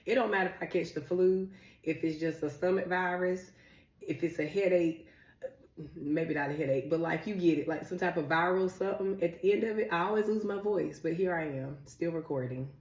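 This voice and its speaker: Woman co-host, low voice, chatty and playful, slightly under the weather; echoey room. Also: darkish tone. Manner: chatty, fast-talking, playful teasing. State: recovering from a cold. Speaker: podcast co-host. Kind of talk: casual chat.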